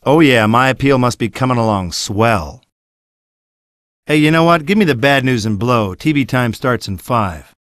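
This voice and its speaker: Actor as cocky, sarcastic American horror hero, dry sound. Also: male voice, mid-range voice, lively intonation, moderate pace, a bit bright, no room sound, lightly acted. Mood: sarcastic, irritated. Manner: brash, sarcastic. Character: cocky, sarcastic wisecracking male horror hero locked in an asylum. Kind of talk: video game cutscene acting.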